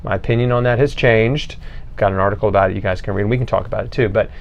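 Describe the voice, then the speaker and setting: A man's voice: on the low side, fairly even intonation, rapid-fire, gravelly, highs cut off. A man hosting an interview, talking in a conversational way to his guest and audience. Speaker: interviewer and show host.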